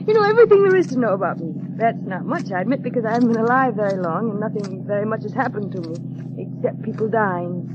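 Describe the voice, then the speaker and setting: A woman's voice: mid-range voice, expressive, quick pace, natural voice. An actress playing a shy, earnest, naive young woman of nineteen in a 1940s radio drama, speaking in a hesitant, polite, wistful way. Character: shy, earnest, naive nineteen-year-old paid companion. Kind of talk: 1940s radio acting and narration. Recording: dull vintage radio recording, music behind.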